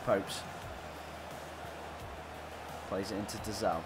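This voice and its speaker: Hoarse man streaming a game. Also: lowish voice, takes it slowly, loud music behind the voice. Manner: excitable, chatty, greets viewers. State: hoarse, scratchy throat. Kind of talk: live play-by-play commentary while gaming.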